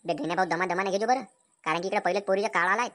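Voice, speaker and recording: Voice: mid-range voice, rapid-fire, a bit bright, close to an everyday voice. A performer voicing a cheeky village drinking buddy in a comic cartoon, giving teasing, coarse advice in rural Vidarbha Marathi slang. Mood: teasing, advising. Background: music.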